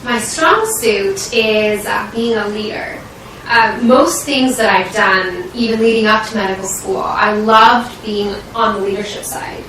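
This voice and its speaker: Young american woman doing a residency interview, bright voice, super echoey and kinda far from the mic. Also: medium pitch, a little slow. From Washington State, United States. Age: young adult. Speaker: medical student interviewing for residency. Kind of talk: interview answers. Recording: roomy echo, distant mic, faint noise.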